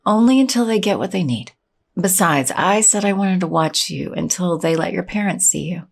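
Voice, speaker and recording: Tired kind teacher in an audio drama, husky, lots of fry. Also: female voice, lowish voice, animated, normal speed, full character voice. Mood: reassuring. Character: caring, weary female schoolteacher looking after teenagers.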